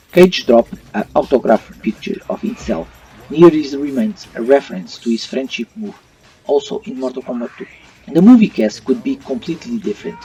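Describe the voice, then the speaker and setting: A man's voice: mid-range voice, mellow. A man who speaks English as a second language, hosting an opinionated video review with non-native phrasing. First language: not English. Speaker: host of a retro gaming video channel. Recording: distant mic, faint music underneath.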